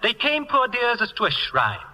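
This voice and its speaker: An actor playing a vain, theatrically affectionate English playwright in an old radio comedy, with an arch, gushing drawl. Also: male voice, extremely high pitch, very animated, normal speed, pushed and bright, exaggerated voice. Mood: airy, amused. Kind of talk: 1940s radio comedy acting. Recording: old radio broadcast in a reverberant hall.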